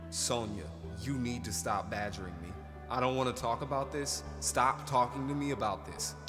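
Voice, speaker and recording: A man's voice: medium pitch, normal speed, natural voice. An actor playing a withdrawn, defensive man who speaks curtly and dismissively in naturalistic stage-play dialogue. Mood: agitated. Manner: curt, dismissive. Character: Ross, sullen, defensive, withdrawn former academic man. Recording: long hall reverb with music behind.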